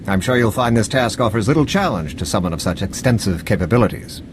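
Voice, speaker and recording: Casting: male actor for flattering squad soldier in a video game, brisk and very animated. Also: lowish voice, background noise, close to an everyday voice.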